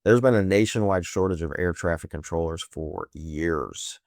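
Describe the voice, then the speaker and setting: Deep-voiced sarcastic male political commentator. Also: normal speed, a little mellow, no echo, clean studio sound. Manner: sarcastic, emphatic, rhetorical questions. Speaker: host of a political news commentary show.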